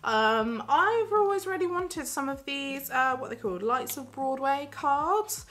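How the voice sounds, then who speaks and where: Chatty, excitable young woman. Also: sits high, unhurried. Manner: chatty, giggly, excitable, with lots of fillers. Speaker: vlogger. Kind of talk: casual haul vlog. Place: small bedroom, indoors.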